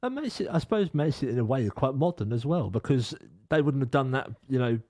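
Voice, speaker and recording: A quick-talking man with a mellow, warm tone and a dry, close sound. He hosts a radio studio interview in a brisk, encouraging manner. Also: mid-range voice, expressive, no room sound. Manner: brisk, encouraging, frequent murmured agreement. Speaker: radio presenter.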